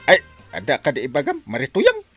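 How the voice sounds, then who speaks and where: Flustered Ilocano village captain, wildly swooping voice. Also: male voice, a little high, huge pitch swings, fairly quick, over music. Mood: agitated. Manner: stammering, blustering, exclamatory. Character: flustered, excitable village captain, comic husband. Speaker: village captain in a comedy radio drama. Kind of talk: broad comedy radio drama acting.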